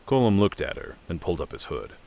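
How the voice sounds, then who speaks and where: A man's voice: low voice, sing-song, fast talker, vocal fry, a little mellow. A man narrating a fantasy audio drama in a dramatic scripted storytelling style.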